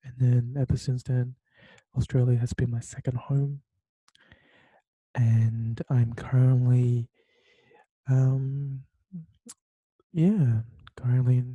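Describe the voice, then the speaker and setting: A man's voice: mid-range voice, dark tone, no room sound. A young man from Taiwan hosting a solo podcast from his bedroom, talking hesitantly with many fillers and restarts. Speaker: podcast host. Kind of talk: solo late-night podcast monologue. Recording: dull lo-fi sound.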